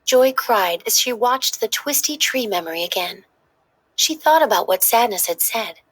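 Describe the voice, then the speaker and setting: A woman narrating a children's story in an expressive, dramatic read-aloud style. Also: on the high side, moderate pace, breathy edge, rough recording.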